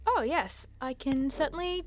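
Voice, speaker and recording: A woman's voice: sits high, lively intonation, moderate pace, noisy background. A woman playing an eager, starstruck young monster enthusiast in an audio drama, earnest, polite and gushing. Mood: curious, friendly.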